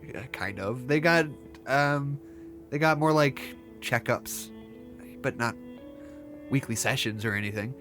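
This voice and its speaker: Kindly hesitant counselor, naturalistic drama dialogue, medium pitch, faint music. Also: male voice, measured pace, long pauses, sharp tone. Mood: tentative, hopeful. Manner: hesitant, stammering, patient. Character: gentle, hesitant, encouraging therapist in a secret facility.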